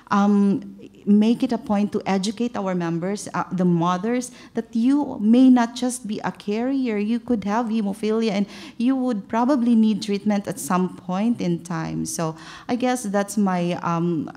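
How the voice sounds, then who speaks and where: A woman's voice: mid-range voice, normal speed, a little mellow. A woman on a conference panel sharing her experience as a patient advocate, hesitant and full of fillers, speaking into a table microphone in a large hall. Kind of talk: panel discussion.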